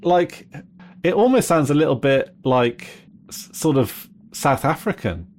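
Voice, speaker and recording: A man's voice: on the high side, big pitch swings, measured pace, darkish tone, a little hiss or hum. A man from England co-hosting a casual streamed chat, speaking hesitantly with many ums and uhs. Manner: hesitant, lots of fillers. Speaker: podcast co-host.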